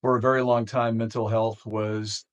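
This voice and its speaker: An American man hosting an interview, speaking in a measured, deliberate conversational way. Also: on the low side, flat delivery. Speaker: interview host.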